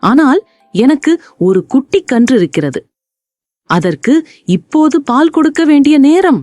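An honest, gentle mother cow from a storybook, voiced by a woman, speaks with polite earnestness. The delivery is very melodic and often lifts high, dry over music. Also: very animated, a little slow, no room sound. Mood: pleading.

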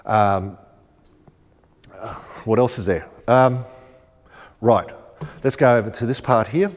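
A man's voice: medium pitch, slow, a little mellow. A man, casually demonstrating rooftop tent fittings in a large warehouse showroom, chatty and practical with lots of ums. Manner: chatty, practical, thinks aloud with frequent ums. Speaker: shop presenter demonstrating rooftop tents. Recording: long warehouse reverb, faint music.

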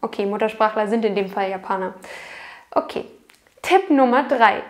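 A young woman talking to camera from a small room at home, explaining language study tips in a clear, explanatory way with frequent fillers. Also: medium pitch, normal speed. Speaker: language learning YouTuber.

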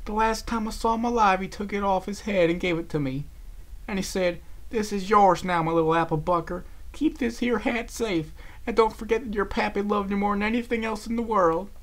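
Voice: mid-range voice, a little fast, pitched above the performer's own voice, close to an everyday voice. A storyteller voicing a feisty, loyal female country pony with folksy phrasing, emotional and exclaiming. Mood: tearful, distraught.

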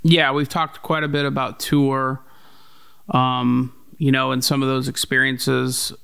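A man's voice: medium pitch, flat delivery, measured pace, gravelly, fairly bright tone, clear, resonant tone. A young man co-hosting a podcast in casual conversation, earnest and full of "you know" fillers, on a studio mic in a home room. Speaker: podcast co-host, label runner. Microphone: boom-mounted studio mic.

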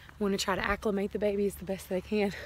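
Woman, twang, rambling homestead vlogger, mid pitch, noisy phone audio from the barnyard. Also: little pitch movement, fast. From Tennessee, USA. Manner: chatty, rambling, laughs often. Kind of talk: casual chat while doing farm chores. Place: outdoors on a farm and in a barn. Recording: noisy outdoor phone recording.

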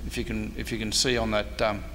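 An Australian man gives an informal talk to go with slides. His voice is bright and forward, carried in a long-echoing meeting room with faint noise behind it. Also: on the low side, little pitch movement, fast talker. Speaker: historian giving a presentation. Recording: echoey hall, faint noise.

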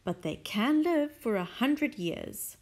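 A woman voicing a shy, lovesick older man who speaks in a lower, soft and solemn way. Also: expressive, slow pace, heavily acted. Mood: earnest.

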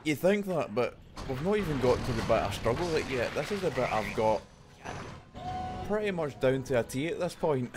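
A man's voice: medium pitch, big pitch swings, normal speed, long pauses, noisy background. A young man streaming a video game, chatting casually and mocking his own play with frequent laughs, recorded at home on a desk mic. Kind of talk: casual live commentary over gameplay. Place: home room. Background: game music.

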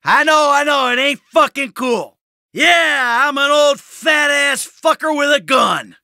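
A man's voice: extremely high pitch, measured pace, pushed and bright, no echo, clean studio sound, heavily acted. A man voicing a cynical, brash middle-aged American criminal in a video game, sarcastic and foul-mouthed. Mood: angry, taunting. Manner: sardonic, brash, swearing.

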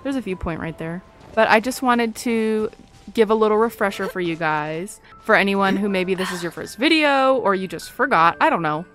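A woman's voice: medium pitch, lively intonation, moderate pace. Chatty young woman doing gaming commentary. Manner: gushing, self-interrupting, playful. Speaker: gaming video creator. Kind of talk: casual chatty game playthrough commentary. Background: soft game music.

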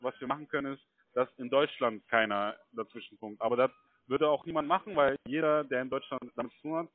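A man from Germany talking casually on the phone in a halting, repetitive way, often checking he is followed. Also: medium pitch, steady tone, dry recording. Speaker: call center recruiter. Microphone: telephone. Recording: tinny phone line.

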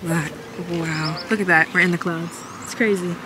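A woman's voice: lowish voice, fairly even intonation, normal speed, very noisy. A woman in her twenties talking casually to a handheld camera while she travels, rambling and thinking aloud. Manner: chatty, rambling, thinks aloud with likes and ums. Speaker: travel vlogger. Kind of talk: casual solo travel vlog. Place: hotel room and outdoor restaurant. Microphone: handheld camera at arm's length.

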